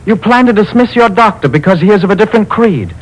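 An actor playing Goodwill, an earnest, stern and kindly spirit who lectures a couple, in a 1940s radio play. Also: male voice, high voice, lively intonation, fast, slightly rough, poor audio. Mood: stern preaching. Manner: earnest, sermonizing, commanding. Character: Goodwill, a wise preachy man, stern and kindly.

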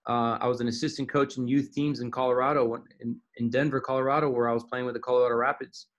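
A man's voice: mid-range voice, little pitch movement, quick pace, lo-fi sound. A man from Miami, a soccer coach, answering interview questions thoughtfully with frequent restarts and fillers. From Miami, Florida.

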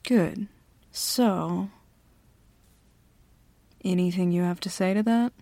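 Woman voicing a bossy female CEO, teasing and confident, slow unhurried talk, a little vocal fry. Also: lowish voice, mellow, no echo. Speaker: ASMR roleplay performer. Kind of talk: flirty ASMR roleplay.

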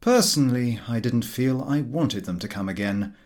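A man reading a comic novel aloud as a narrator, expressive and drily humorous. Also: medium pitch, moderate pace. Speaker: audiobook narrator.

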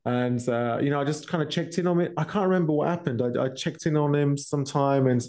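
A British man speaking candidly as a musician guest on a podcast, in a halting, reflective way. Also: on the high side, a little mellow.

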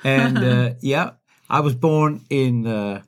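A man's voice: mid-range voice, takes it slowly. Dry-humoured man. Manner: dry joking, stammers on restarts. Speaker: podcast co-host. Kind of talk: casual podcast chat.

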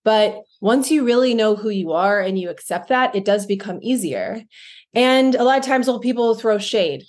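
A woman co-hosting a podcast, talking in an animated, emphatic way and often laughing. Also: medium pitch, normal speed. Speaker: podcast co-host. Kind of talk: casual podcast chat.

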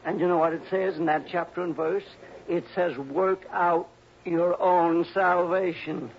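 An actor playing a cranky, suspicious old miser in a radio drama, querulous and blustering, with folksy grammar, on a muffled old broadcast. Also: male voice, sits high, steady tone, unhurried, gravelly, slight background noise. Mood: agitated, fearful. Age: older.

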